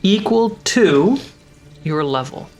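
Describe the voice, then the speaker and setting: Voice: mid-range voice, animated, slow pace, a little mellow. A game master narrating a tabletop roleplaying adventure to players, describing scenes and calling for rolls.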